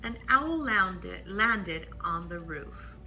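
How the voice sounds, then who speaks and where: A woman reads a picture book aloud for children in a very bright, crisp-edged voice. Her pitch is lively and she takes her time, pausing for little asides on the pictures. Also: soft background music, poor audio. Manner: expressive, pauses to point out pictures. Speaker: library storytime reader.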